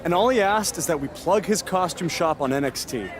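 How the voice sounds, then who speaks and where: An actor playing a laid-back, goofy wrestler sidekick in a video game cutscene, casual and wry. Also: male voice, a little high, expressive, brisk, close to an everyday voice.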